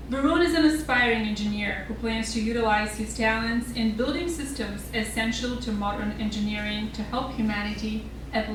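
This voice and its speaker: A woman hosting a student speaking event, introducing speakers in a measured, emphatic and formal way. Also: fairly high pitch. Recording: very echoey hall, distant, noisy.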